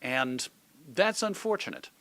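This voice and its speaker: A middle-aged man from suburban Chicago giving reflective, measured answers as an interview guest, carefully qualifying his points. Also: medium pitch, lively intonation, fairly bright tone, no room sound. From suburban Chicago, United States. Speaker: podcast guest, magazine editor and radio host.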